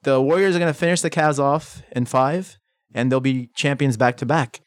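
A man's voice: mid-range voice, fairly even intonation, moderate pace, no room sound, clean studio sound. A man from New York, a guest on a sports podcast, telling sports stories with emphatic energy. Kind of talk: casual sports chat.

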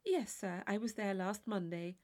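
A woman's voice: medium pitch, moderate pace, a little mellow, natural voice. A woman voicing a polite, modest young lady who answers demurely in a classic novel reading. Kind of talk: classic novel audiobook character voice.

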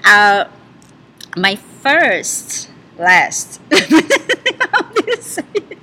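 A woman's voice: fairly high pitch, huge pitch swings, very slow, sharp tone, breathy edge. A woman whose first language is Portuguese, chatting as a podcast guest, laughing often and searching for English words. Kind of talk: casual podcast chat.

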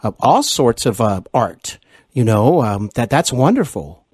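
A man's voice: mid-range voice, sing-song, measured pace, darkish tone, slightly rough, no echo. Middle aged american guy hosting an interview, very up and down intonation, agreeable, dry close sound. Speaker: interview show host. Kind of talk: conversational interview.

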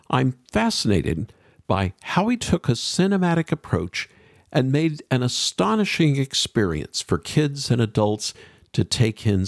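A mature man of medium pitch hosts a podcast with animated intonation. He presents and asks questions in a dry recording, with faint music at times. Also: no room sound. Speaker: podcast host. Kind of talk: presenting and interviewing.

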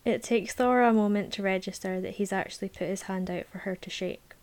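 A woman reads a novel aloud at a middle pitch. Her narration is measured and calm, dropping to a near whisper at times. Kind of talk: audiobook narration, present tense.

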